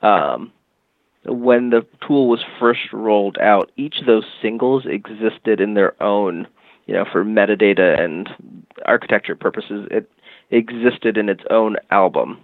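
A man with a low, gravelly voice explains his work as a podcast guest, steady and full of fillers. The sound is narrow and phone-like, and the space around it is dry and close. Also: dry recording. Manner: measured, explanatory, with frequent fillers. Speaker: agency head, podcast guest. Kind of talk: casual interview talk. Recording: tinny phone-like line.

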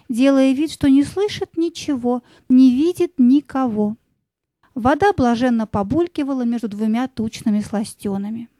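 A woman's voice: sits high, dark tone, no room sound. A woman narrator telling a scripted fairy tale as part of an audio play.

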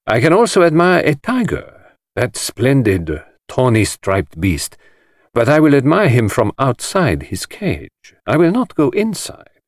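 A shrewd, courteous detective in a mystery audiobook. He speaks slowly and formally, with careful precision and a widely varied melody, in a dry close recording. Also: mid-range voice, no room sound, pitched above the performer's own voice. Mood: grave, warning. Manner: slow, precise, measured and formal. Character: grave, courteous, shrewd middle-aged male foreign detective. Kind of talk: dramatised audiobook dialogue.

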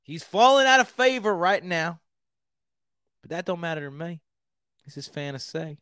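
A brash, folksy Texan hog hunter, as a man puts him on with an exaggerated drawl. The voice sits higher than his usual talk and sounds close and dry. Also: lively intonation, normal speed, pushed and bright, smooth, no room sound. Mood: boastful.

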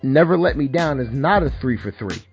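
A man's voice: mid-range voice, lively intonation, fairly quick, creaky, muffled recording, faint music behind. A man hosting a casual podcast chat about rap songs, laid-back and swearing now and then. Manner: laid-back, swears, says "my bad" and "word up" a lot. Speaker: podcast host.

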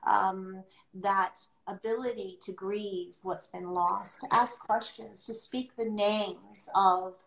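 A middle-aged woman speaking reflectively and conversationally on a livestream, earnest and deliberate, pausing as she chooses her phrases. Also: mid-range voice, steady tone, a bit bright. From born outside the US, adopted into America. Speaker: podcast host sharing her experience as an adoptive mother. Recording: roomy, echoing sound.

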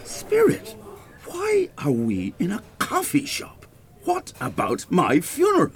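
A demanding, vain old Dublin dead man in a radio play. His gravelly Irish voice runs high for a man and swings wildly in pitch. He takes his time, blustering and full of self-pity. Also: wildly swooping pitch, slow pace, exaggerated voice. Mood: indignant. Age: older. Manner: blustering, stammering when upset.

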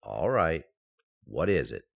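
An actor playing an excitable, befuddled, affectionate husband in a 1940s-style radio sitcom, with stammering comic delivery. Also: male voice, rumbling low, slow pace, vocal fry, highs cut off, full character voice. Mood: sheepish, subdued.

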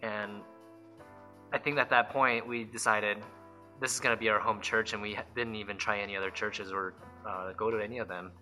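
A young man sharing a sincere church testimony in plain, unpolished speech with fillers and restarts. His voice is very bright and sharp, pitched a bit low, with faint music under him. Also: fairly even intonation, a little fast. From Reno, Nevada, born in South Korea. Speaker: church member giving testimony. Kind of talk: interview testimony for a church video.

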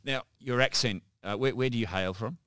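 Guy hosting a chat, punchy bright voice, dry close sound, encouraging, says things twice. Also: medium pitch, brisk, clean tone, no echo. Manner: wordy, encouraging, repeats phrases. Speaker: interview host. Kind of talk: conversational interviewing.

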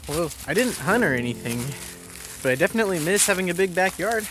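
A man playing a chatty, inquisitive and slightly uneasy hiking companion in an audio drama, with an expressive, questioning delivery. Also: high voice, quick pace, over music. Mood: curious, wistful. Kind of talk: naturalistic audio drama dialogue.

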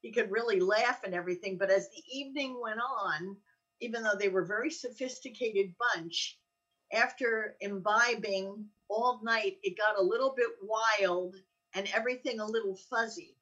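A woman's voice: mid-range voice, moderate pace, fairly bright tone, breathy edge, distant mic, lo-fi sound. An older American woman with a chatty, joking manner, telling casual anecdotes in conversation. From Allenhurst, New Jersey, United States. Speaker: co-host.

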